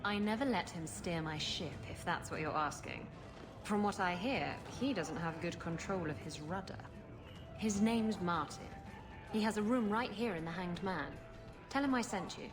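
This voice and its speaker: A woman acts out a roguish female pirate captain in a video game, her voice on the low side. She is flirtatious and brash, with a sardonic, teasing edge. Prominent music plays behind her. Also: normal speed, natural voice. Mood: playful, teasing. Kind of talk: video game cutscene acting.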